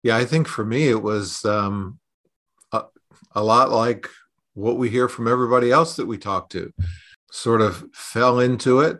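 A man's voice: mid-range voice, unhurried, fairly bright tone. A middle-aged man with a mid-range voice speaks on a podcast. He moves between prepared introductions and conversational answers as a host and consultant. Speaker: podcast host, consultant being interviewed as guest.

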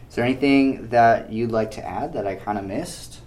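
A young man in his twenties, a student interviewing a peer, encouraging and quick to affirm. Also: medium pitch, a little mellow, slight background noise. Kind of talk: casual interview.

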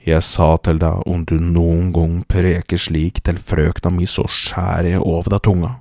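Man acting out a fierce, loud, low-voiced hunter. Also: level tone, creaky, no room sound, studio quality. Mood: menacing, angry. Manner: loud, menacing, deliberate. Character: fierce, threatening young backwoods hunter defending his sweetheart.

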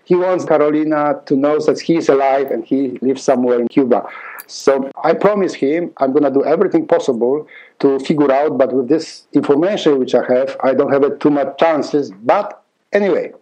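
A man's voice: mid-range voice, dark tone, poor audio. A middle-aged man from Poland with a Polish accent, telling a long story in an interview, often repeating words and acting out dialogue. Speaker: conductor and music teacher.

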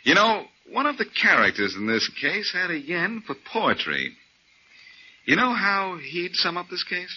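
An actor playing a confident, wisecracking amateur detective in a breezy, wry 1940s radio acting style. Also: male voice, high voice, wildly swooping pitch, measured pace, bright, forceful tone, slight background noise, full character voice. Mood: wry, playful. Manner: breezy, self-assured, wry. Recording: muffled 1940s radio recording.

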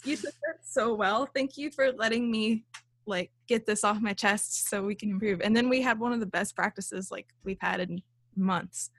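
A woman's voice: mid-range voice, normal speed, a bit bright, dry recording. A young woman who leads a band, chatting casually in an interview with a small, light voice, lots of fillers and frequent laughs. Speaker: band leader and songwriter.

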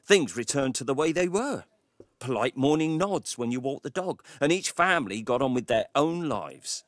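A man's voice: mid-range voice, no room sound, natural voice. An actor playing a grumpy, reserved taxi driver who tells his story in a wry, confiding way in an audio drama monologue. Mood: grumbling. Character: grumpy, reserved Cornish male taxi driver, reluctant local hero.

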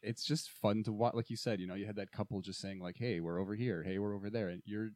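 Male co-host, low voice, rapid and rambling, dry mic. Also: fairly even intonation, darkish tone, dry recording. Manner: rambling, enthusiastic, many restarts. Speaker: podcast co-host. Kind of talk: casual podcast chat.

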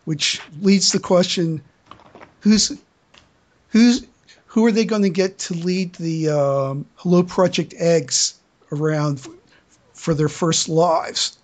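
A podcast host chatting casually through fan news, with a halting delivery that often stammers and repeats words. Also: medium pitch, fairly even intonation.

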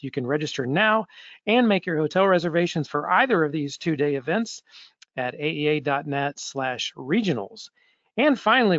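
A podcast host with a fairly high voice reads announcements and closes the show in an upbeat, promotional tone. The recording is dry and a little dull. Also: male voice, no room sound. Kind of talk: podcast hosting and sign-off announcements. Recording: dry, slightly dull audio.

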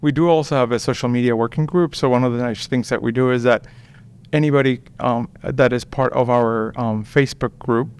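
A man, chatting as an interview guest and pausing often with "um.". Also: mid-range voice, steady tone, smooth. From Puerto Rico. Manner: hesitant, many ums and restarts. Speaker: guest, veterinarian. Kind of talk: casual interview chat.

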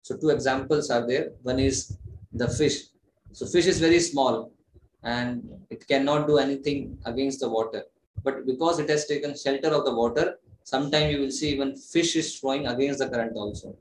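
A man gives an earnest devotional talk, explaining each point patiently and often restarting a phrase. His voice sits at an ordinary middle pitch. It carries a roomy echo over a faint hiss, like a computer mic in an online class. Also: fairly even intonation, normal speed. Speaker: preacher.

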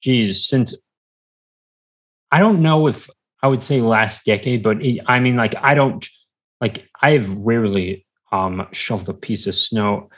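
An American man chatting casually as an interview guest, rambling haltingly and often trailing off. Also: fairly low pitch. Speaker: podcast guest. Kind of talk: casual chat.

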